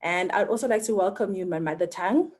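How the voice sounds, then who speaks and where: A South African woman opens an online webinar, speaking in a measured, formal way from prepared notes. Her voice sits in a middle register, and she adds the odd filler as she reads. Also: mid-range voice, a little mellow. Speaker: webinar moderator. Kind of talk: formal scripted introduction.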